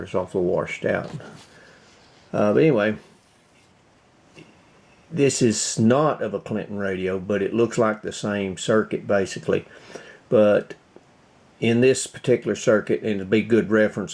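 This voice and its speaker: A man giving a casual hands-on demonstration as a video host, thinking aloud in short, halting phrases. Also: fairly low pitch, gravelly, darkish tone. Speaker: host of a radio repair video.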